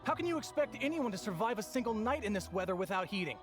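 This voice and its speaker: A woman's voice: medium pitch, animated, fast, music in the background, lightly acted. Woman playing an indignant, desperate game townswoman. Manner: indignant, pleading. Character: aggrieved, desperate townswoman arguing. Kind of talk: video game character dialogue.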